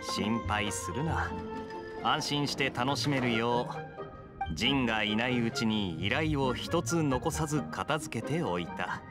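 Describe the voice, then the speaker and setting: An actor playing a suave, teasing young cavalry captain in an anime game, casual and easygoing. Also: male voice, mid-range voice, animated, unhurried, close to an everyday voice. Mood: reassuring, teasing. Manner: casual, smooth-talking. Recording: game audio with loud music behind.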